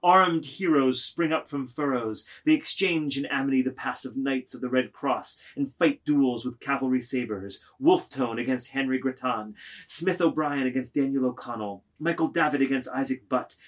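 A man narrating a literary script, reading stage directions in a declamatory way. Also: medium pitch.

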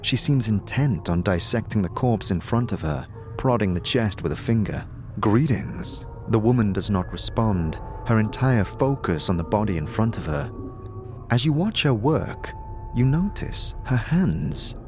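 Lively, low-voiced male narrator. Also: moderate pace, faint music behind. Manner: vivid second-person storytelling. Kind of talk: scripted fantasy narration.

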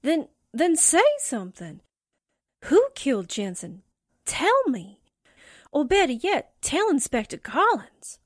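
A woman playing an eager, excitable, slangy newspaperwoman sidekick in a 1940s-style radio drama. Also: sits high, slow pace, no room sound, studio quality, exaggerated voice. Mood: eager, impatient. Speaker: actor in an amateur radio drama re-creation.

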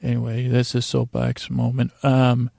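Creaky-voiced American man, rambling. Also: mid-range voice, monotone, mellow, dry recording, studio quality. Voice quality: creaky, gravelly. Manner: meandering, halting, self-interrupting, digressive. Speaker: podcast host. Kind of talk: rambling bedtime monologue.

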